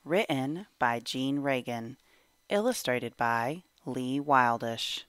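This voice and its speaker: A woman reading a children's picture book aloud in an animated, measured storytelling manner. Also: a bass voice. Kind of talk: expressive children's read-aloud narration.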